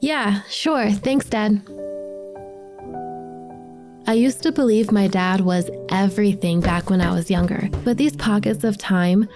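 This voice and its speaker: A woman's voice: medium pitch, measured pace, pauses often, a little mellow, faint music behind, lightly acted. A woman playing a sardonic but affectionate teenage daughter and big sister in an audio drama, speaking in a wry way. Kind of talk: audio drama dialogue and narration.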